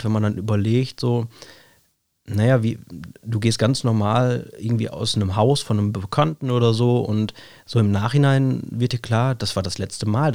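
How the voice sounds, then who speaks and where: Hoarse guy with a cold, low gravelly voice, rambling and thoughtful, dry close mic. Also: darkish tone, dry recording. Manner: thoughtful, rambling, many fillers and tag questions. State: hoarse with a cold, scratchy throat. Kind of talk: reflective casual chat.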